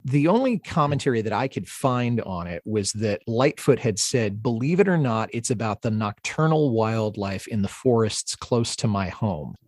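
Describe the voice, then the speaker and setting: A man hosting a music discussion podcast, speaking in a measured, articulate and reflective way. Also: lowish voice, sing-song, a little mellow, dry recording, clean studio sound. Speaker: podcast host.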